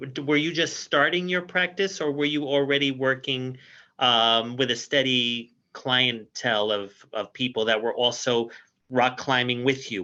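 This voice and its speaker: Bright-voiced male radio host, lo-fi call. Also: mid-range voice. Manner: reads a formal disclaimer, chatty introductions. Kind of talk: hosting a health talk show over a video call. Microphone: video call.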